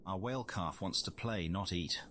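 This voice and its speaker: A man playing a scientist who narrates a wildlife field study in measured, formal scripted documentary style. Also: sits low, a little hiss or hum. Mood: measured, informative. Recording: loud music under the voice.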